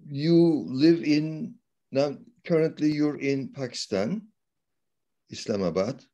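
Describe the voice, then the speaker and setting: A man hosting an interview, talking haltingly and pausing often with fillers. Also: fairly high pitch, warm tone, lo-fi sound.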